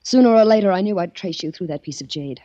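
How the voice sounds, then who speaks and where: A woman's voice: medium pitch, lively intonation, fast talker, dark tone, dry recording, close to an everyday voice. An actress playing a vengeful young woman holding a man at gunpoint, in clipped, threatening 1940s radio acting. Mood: cold threatening. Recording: dull old radio broadcast.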